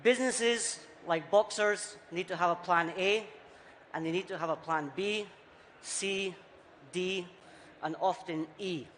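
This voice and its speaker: A man with a Scottish accent softened by years abroad, giving a punchy, rhetorical conference talk in short, repeated phrases. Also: high voice, measured pace. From Scotland. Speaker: conference speaker. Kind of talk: keynote talk on stage. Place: large conference hall. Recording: reverberant hall, faint background noise.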